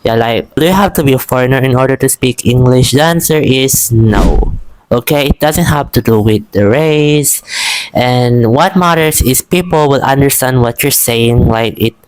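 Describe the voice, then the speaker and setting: A man's voice: mid-range voice, normal speed, low-quality recording. A young man from the Philippines with a Filipino accent, chatting casually and encouragingly to camera about learning English, rambling with frequent restarts. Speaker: vlogger.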